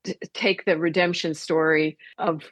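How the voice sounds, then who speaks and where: A woman's voice: sits low, steady tone, unhurried, no room sound. A woman speaking as a theater director and guest in a conversational radio interview.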